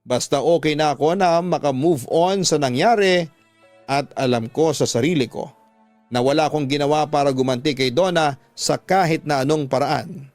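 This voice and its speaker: A man's voice: a little high, a little mellow, no room sound. A man with a Filipino accent telling a dramatic first-person story as a scripted radio drama narrator. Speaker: radio drama narrator reading a listener's letter. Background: music.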